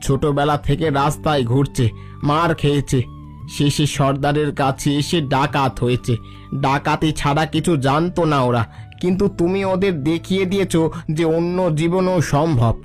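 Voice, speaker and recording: A man's voice: fairly high pitch, normal speed, faint music behind, natural voice. An actor playing a loyal, humble bandit henchman in a cartoon, with an earnest and respectful manner. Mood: hopeful, grateful. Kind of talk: Bengali cartoon story dialogue.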